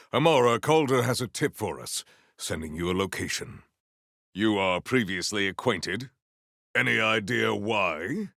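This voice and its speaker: A proud, battle-hungry game warrior with a low, gravelly voice. He speaks in formal, ceremonious phrases, grave and stern, at a slow and weighty pace. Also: fairly bright tone, no room sound, studio quality, heavily acted. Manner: formal, measured, ceremonious diction, few contractions. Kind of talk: video game character voice lines.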